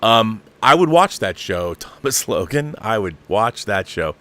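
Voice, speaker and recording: A man's voice: fairly low pitch, big pitch swings, a little slow, fairly bright tone. A middle-aged or older man hosting a live show, giving opinionated, casual film commentary and repeating phrases for emphasis.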